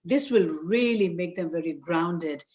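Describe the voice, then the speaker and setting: An older woman from Nepal with a Nepali accent, giving informal, earnest advice as a guest speaker, with frequent hesitant pauses. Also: on the low side, darkish tone. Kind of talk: informal advice talk to parents. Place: virtual session over video call.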